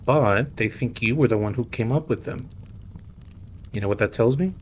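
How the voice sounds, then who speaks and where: A man's voice: medium pitch, moderate pace, lightly acted. Man acting a suave mob boss, faint noise. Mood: playful, teasing. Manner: teasing, smooth, code-switches into Spanish. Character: suave, playful male mafia boss. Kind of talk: audio roleplay drama acting.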